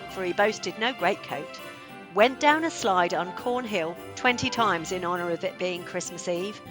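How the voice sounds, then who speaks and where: A woman's voice: mid-range voice, lightly acted. A woman acting as the narrator of a radio play, reading scripted storybook narration. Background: music.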